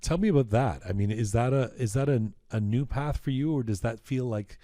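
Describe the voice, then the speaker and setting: A man's voice: mid-range voice, fairly quick, warm tone, no echo. A male host asking curious, probing questions in a studio interview.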